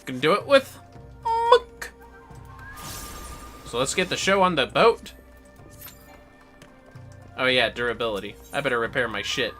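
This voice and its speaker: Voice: medium pitch, very animated, a little slow, long pauses, a bit bright, soft background music. A streamer with an androgynous voice chatting casually and playfully while playing a game, muttering to themselves and swearing freely. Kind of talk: casual livestream gaming commentary.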